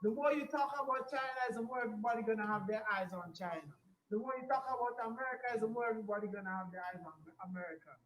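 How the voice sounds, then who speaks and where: A woman's voice: a little high, rapid-fire, echoey room, slight background noise, rough recording. A woman, from Grenada, co-hosting a casual talk radio discussion in an opinionated, lecturing way. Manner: opinionated, lecturing, many fillers.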